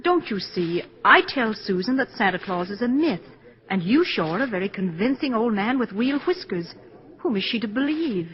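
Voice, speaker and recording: Actress as brisk, no-nonsense businesswoman, mid-pitched, 1940s radio play, muffled vintage recording. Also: big pitch swings, natural voice. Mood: guarded, firm. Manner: polite, firm, matter-of-fact. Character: brisk, guarded, practical businesswoman and divorced mother.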